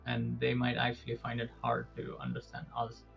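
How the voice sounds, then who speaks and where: Guest, Indian-accented young man, gravelly voice, lo-fi line, patient explainer. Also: mid-range voice, very animated, moderate pace, soft background music. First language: Urdu. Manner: explanatory, with repeated "actually" and "right?". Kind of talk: casual interview. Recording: dull lo-fi sound.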